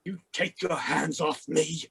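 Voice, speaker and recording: A man's voice: a little high, steady tone, a little slow, vocal fry, fairly bright tone, slightly rough, dry recording, heavily acted. An actor playing a dignified, indignant man past sixty in an old-time radio crime drama, with stiff, formal diction. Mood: affronted. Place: performed from home on a video call.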